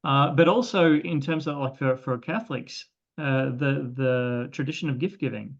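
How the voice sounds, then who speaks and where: A man's voice: medium pitch, normal speed, creaky, lo-fi sound. A man with an Australian accent hosting an interview in a conversational way. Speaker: interviewer, host.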